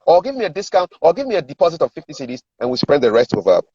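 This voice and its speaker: A man's voice: a little high, very animated, racing, darkish tone, husky. A middle-aged man with a Ghanaian accent, explaining things as a coach in a conversational interview and acting out sample dialogue. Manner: explanatory, acts out sample dialogue, repeats words for emphasis. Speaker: communications and sales coach giving advice. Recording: roomy echo, dull lo-fi sound.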